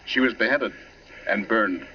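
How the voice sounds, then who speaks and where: A man's voice: fairly low pitch, a little slow, lightly acted. An actor playing a genial middle-aged professor in a 1940s radio drama, wry and relishing his words. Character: genial male English professor, relishing old murder cases. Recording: muffled, noisy 1940s radio broadcast.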